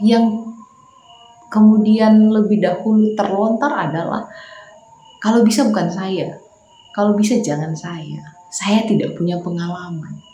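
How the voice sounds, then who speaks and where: A woman pastor with a Makassar accent, praying and preaching a morning devotional, slow and prayerful, rising to emphatic preaching. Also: medium pitch, warm tone, echoey room, a little hiss or hum, poor audio.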